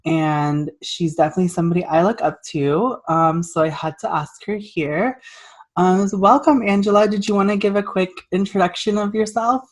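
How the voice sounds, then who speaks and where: A woman's voice: on the low side, moderate pace. A woman hosting an interview, upbeat and welcoming, with frequent fillers. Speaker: host.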